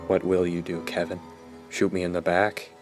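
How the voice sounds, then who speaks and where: A man playing a sardonic, guilt-ridden teenage boy in a radio drama, brooding and halting as he confesses. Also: low-pitched, level tone, faint music behind, lightly acted. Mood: resigned, remorseful. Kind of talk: radio drama acting with first-person narration.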